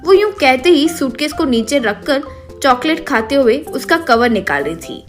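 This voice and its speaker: A woman reading scripted narration for an animated children's moral story. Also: sits high, normal speed, faint music behind.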